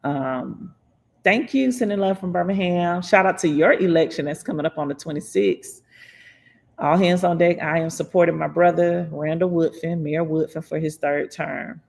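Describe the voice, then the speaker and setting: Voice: medium pitch, creaky. A livestream host from Florida, talking casually about politics, reading viewer comments aloud and answering them with frequent laughs. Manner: reads chat comments aloud and replies, laughs often, slows down to stress points.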